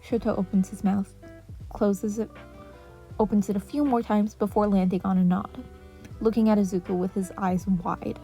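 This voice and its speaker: A woman's voice: medium pitch, fairly even intonation, moderate pace, mellow, poor audio. A woman reading a fan fiction story aloud as scripted narration. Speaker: audiobook reader of fan fiction. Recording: music playing under the voice.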